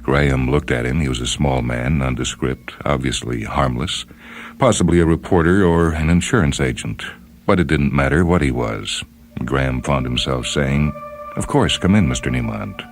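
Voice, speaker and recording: Very deep-voiced male story narrator. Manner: deliberate, measured. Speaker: host and story reader. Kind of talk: scripted dramatic narration. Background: music under the voice.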